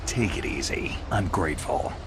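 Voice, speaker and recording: Gruff stoic commando, very low gravelly voice, terse, video game drama, noisy rumbling backdrop. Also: male voice, animated, normal speed. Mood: cool, dry. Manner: terse, cool, understated. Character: gruff, stoic male special-forces infiltrator, flirtatious streak.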